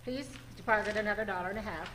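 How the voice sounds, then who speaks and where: An actress playing a formal, clipped, detached long-distance telephone operator in an old-time radio drama. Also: female voice, medium pitch, very fast, roomy sound, noisy background, natural voice. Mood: patient, impersonal.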